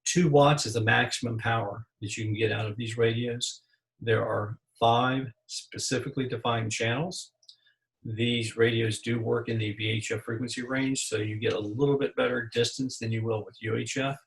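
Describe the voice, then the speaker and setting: A man's voice: mid-range voice, fairly even intonation, moderate pace, gravelly, poor audio. An American man giving a slide presentation lesson, explaining things plainly and methodically as a hobbyist presenter. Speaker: amateur radio presenter.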